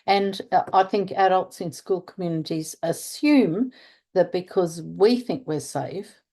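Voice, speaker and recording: A woman's voice: fairly low pitch, measured pace, dark tone. An older Australian woman talking earnestly in conversation, searching for words and stressing key points. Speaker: restorative practice trainer. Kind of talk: conversational interview.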